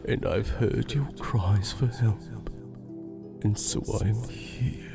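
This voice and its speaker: An actor playing a sinister, sly supernatural tempter in a horror audio drama, whispering formally in a breathy, creaky voice. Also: mid-range voice, slow. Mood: sly, coaxing. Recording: loud music behind the voice.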